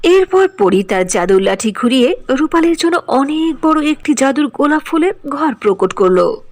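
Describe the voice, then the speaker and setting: A woman's voice: high-pitched, sing-song, moderate pace. A woman narrating a scripted cartoon fairy tale in storybook style.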